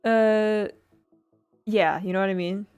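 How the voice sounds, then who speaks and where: A woman's voice: mid-range voice, fairly even intonation, takes it slowly, darkish tone, smooth. Rambling streamer woman, faint music behind. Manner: rambling, filler-heavy, giggly, self-deprecating. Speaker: streamer building a model kit. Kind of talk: casual chat while building, reading chat.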